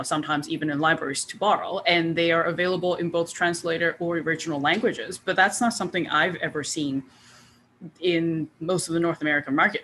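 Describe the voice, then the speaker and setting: Female author guest from Taiwan, bright timbre, measured and hedging, faint room noise. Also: sits low. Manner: thoughtful, hedging, measured with frequent ums. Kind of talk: casual conversational interview.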